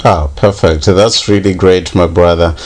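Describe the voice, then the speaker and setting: A man's voice: deep voice, fairly even intonation, a little slow. A man hosting a radio interview, speaking with many hesitant fillers. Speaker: radio host.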